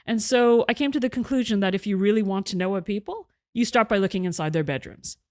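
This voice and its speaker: A woman's voice: medium pitch, fast talker, darkish tone. A middle-aged woman, an author and journalist, speaking articulately as an interview guest and explaining her ideas at length. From half Egyptian, half British; raised in Canada. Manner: articulate, explanatory, with occasional restarts. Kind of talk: interview conversation.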